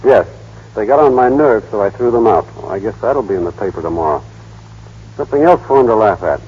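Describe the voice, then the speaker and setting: A man's voice: lowish voice, animated, a little fast, husky. An actor playing a shy, naive small-town American man in a vintage radio drama, hesitant, boyish and plainspoken. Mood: frank, gentle. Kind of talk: 1930s-40s radio drama acting. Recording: dull, muffled old radio broadcast.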